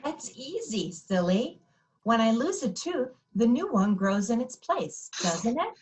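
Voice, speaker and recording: Woman as a high-voiced, confident little princess. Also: sing-song, unhurried. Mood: matter-of-fact. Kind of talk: readers theater storytelling.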